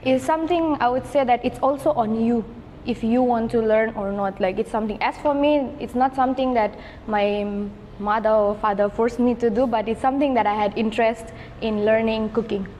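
A young woman from Nepal talks as a guest on a café podcast, her speech full of "like" and "I would say." Her voice sits a little high for a woman. The room adds a long reverb, with faint noise behind. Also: steady tone, fairly quick, a little mellow. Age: young adult. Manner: chatty, many "like" and "I would say" fillers. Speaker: guest, engineering student. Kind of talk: casual podcast chat.

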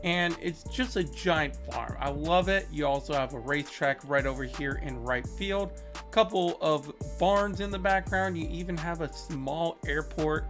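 A man's voice: a little high, measured pace, fairly bright tone, soft background music. Upbeat young man touring game stadiums. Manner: upbeat, enthusiastic, praising. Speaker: gaming video creator. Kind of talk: casual game commentary. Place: small indoor room, webcam corner.

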